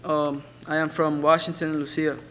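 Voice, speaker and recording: Young man from Ecuador, student spoken word, lightish high pitch, monotone. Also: normal speed, a little hiss or hum. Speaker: student performer. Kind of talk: reciting a personal spoken word poem.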